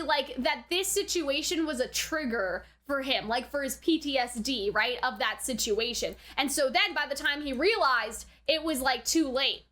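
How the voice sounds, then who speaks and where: A woman giving casual, chatty anime reaction commentary in an animated way, often adding "like" and checking in with the listener. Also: high-pitched. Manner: chatty, animated, frequent "like" and "right?". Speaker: anime reviewer.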